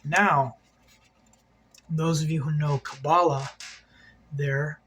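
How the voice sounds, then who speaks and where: A man's voice: fairly high pitch, steady tone, slow, a bit bright, slight background noise. A man hosting an occult video, talking in a rambling, agitated monologue, swearing a lot and repeating himself.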